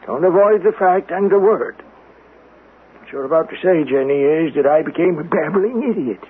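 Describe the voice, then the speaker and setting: Raspy, high-voiced proud old man. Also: lively intonation, normal speed. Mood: haunted, insistent. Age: older. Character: proud, haunted, devout old great-uncle, wryly frank. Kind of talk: radio mystery drama acting. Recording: thin, lo-fi old radio broadcast.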